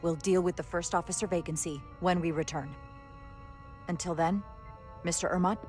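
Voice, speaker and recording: A woman playing a calm, firm and decisive starship captain in a video game. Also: lowish voice, normal speed, natural voice. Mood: composed, firm. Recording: loud music over the voice.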